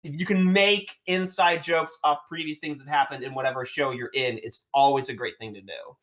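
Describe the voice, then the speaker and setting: A man with a high voice often mistaken for a woman's, chatting casually and rambling on a podcast. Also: steady tone, moderate pace, fairly bright tone. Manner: rambling, filler-heavy. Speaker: podcast co-host. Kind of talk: casual chat.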